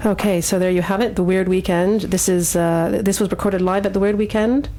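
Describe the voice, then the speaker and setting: A woman's voice: mid-range voice, steady tone, brisk, mellow. A woman hosting a radio show, chatting live and introducing segments. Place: radio studio.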